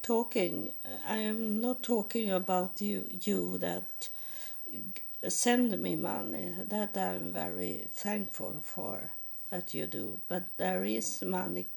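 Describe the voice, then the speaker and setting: Swedish woman, English second language, hesitant, word-searching vlog monologue, heavy vocal fry. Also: lowish voice, fairly even intonation, darkish tone. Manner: halting, searching for words, many restarts. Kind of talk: slow personal spiritual monologue.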